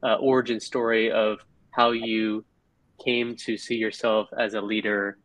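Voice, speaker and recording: A man hosting a podcast who speaks in a flat, steady tone. His voice sounds close and dry, and he asks his questions with many hesitant fillers. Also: fairly low pitch, a little slow, no echo, low-quality recording. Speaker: podcast host. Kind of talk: scripted intro then interview questions. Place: Mauritius.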